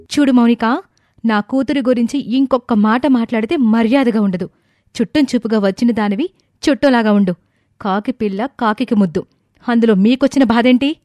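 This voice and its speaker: A woman's voice: a little high, moderate pace, a little mellow, dry recording, clean studio sound, soft background music, lightly acted. A woman playing a loving, firm young mother in a dramatized moral story, speaking with emphatic, pleading feeling. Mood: indignant.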